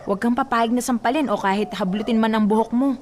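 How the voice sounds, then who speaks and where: A woman's voice: fairly high pitch, fast, slight background noise, close to an everyday voice. Radio drama: actress as a prying neighbor woman, chatty and blunt. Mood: insistent. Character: nosy, talkative married neighbor woman.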